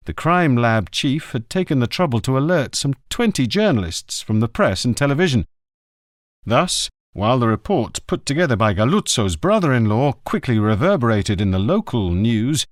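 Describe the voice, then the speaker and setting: A man reading a crime novel aloud as the narrator, with expressive delivery. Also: mid-range voice, dry recording, studio quality. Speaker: audiobook reader. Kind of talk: scripted narration.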